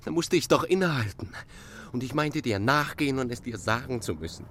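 An actor playing an agitated, possessive lover, by turns tender and domineering, in a radio play with an intense, urgent delivery. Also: male voice, medium pitch, lively intonation, a little fast, a bit bright, close to an everyday voice. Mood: earnest, tender. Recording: noisy old radio play recording.

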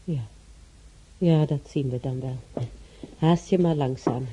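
An elderly woman lying in a hospital bed, her voice very mellow and soft-edged over faint noise. She chats wistfully and kindly, musing and correcting herself, in the style of a Dutch radio drama. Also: sits low, slow, poor audio. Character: wistful, chatty elderly bedridden female patient. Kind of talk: Dutch radio play acting.

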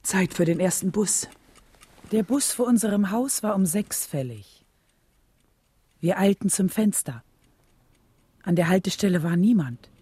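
An actress playing a devoted, steadfast preacher's wife who recalls the past in a radio play, composed and reflective. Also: female voice, mid-range voice, expressive, a little slow, pauses often, mellow, slightly rough, dry recording. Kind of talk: radio play narration and dialogue.

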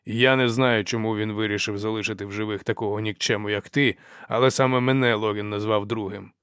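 A deliberate male warrior chief from a fantasy audiobook, voiced by a male reader. He is firm and unyielding, with a fairly low voice. It is recorded close and dry. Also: fairly even intonation, a bit bright, clear, resonant tone, dry recording, lightly acted. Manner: measured, firm.

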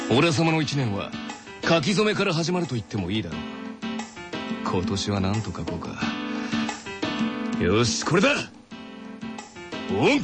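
A pompous, self-admiring young man in a radio skit. He speaks slowly with grand swoops of pitch, over loud music. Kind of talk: dramatic radio skit monologue.

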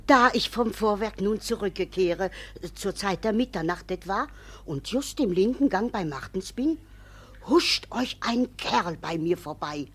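An actress playing a gossipy village woman in a 1950s radio play, telling a tale vividly, with a husky voice. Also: medium pitch, expressive, a little slow. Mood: eager telling. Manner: breathless, vivid storytelling.

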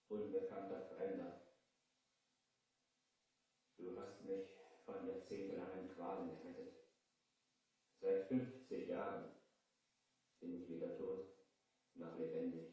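An actor playing a cursed, dying pirate captain in a 1970s German audio play, speaking slowly, solemnly and dramatically. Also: male voice, deep voice, mellow. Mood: weary, grateful. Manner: slow, solemn, dramatic delivery. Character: cursed dying pirate captain, brutal once, now grateful. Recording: cavernous echo, distant from the mic.

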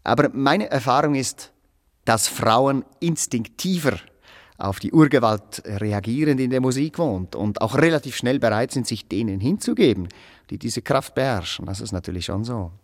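An actor in a German radio play playing a pompous, coldly moralizing executioner who lectures in a stilted, pedantic way. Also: male voice, mid-range voice, animated, moderate pace, long reverb tail. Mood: self-important, hesitant.

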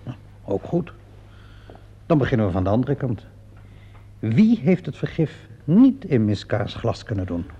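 A mocking male police inspector in a radio play. His mellow voice swings widely in pitch as he patiently needles with ironic questions. The recording is dull and lo-fi. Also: big pitch swings, measured pace, long pauses, breathy edge. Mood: wry, probing. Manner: drawling, ironic questioning with little interjections. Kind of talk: radio play acting in Dutch.

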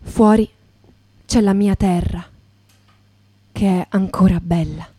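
A woman performing a dramatic literary recitation in radio theatre, speaking in a poetic, deliberate, litany-like way. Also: mid-range voice, fairly even intonation, mellow, breathy edge, dry recording. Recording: voice over acoustic guitar music. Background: acoustic guitar.

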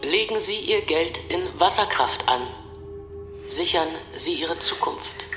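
An actress playing an impersonal online lottery announcement system in a radio drama, flat and segmented. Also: female voice, on the low side, slow pace. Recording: echoey room with music behind.

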